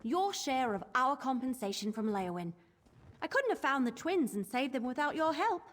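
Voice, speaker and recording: Spirited young adventurer, confident chatter, lively high voice. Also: female voice, normal speed, full character voice. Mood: upbeat, determined. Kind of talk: video game voice acting. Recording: reverberant, faint background ambience.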